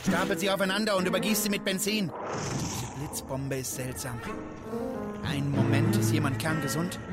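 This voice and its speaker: A man's voice: mid-range voice, lightly acted. A man playing a grim but kindly corpse hauler in a radio drama. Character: grim, bewildered corpse-hauling workman, gruffly kind.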